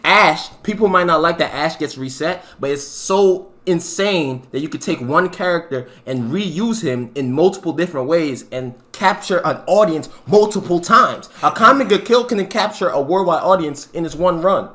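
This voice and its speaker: A young man co-hosting a podcast, making a relaxed, joking case in a casual debate. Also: a little high, moderate pace, few pauses.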